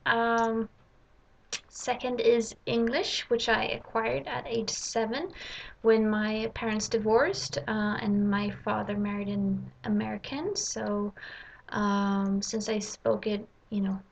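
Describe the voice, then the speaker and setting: A woman's voice: mid-range voice, little pitch movement, takes it slowly, creaky, slight background noise. A woman, speaking hesitantly in short phrases in a casual personal vlog. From Sweden. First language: Swedish. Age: 20s. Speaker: vlogger. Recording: dull, lo-fi home recording.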